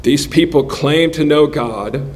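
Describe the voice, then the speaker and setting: Slow, earnest man in his forties preaching. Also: on the high side, a little mellow. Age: 40s. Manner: earnest, measured delivery with pauses. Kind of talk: sermon.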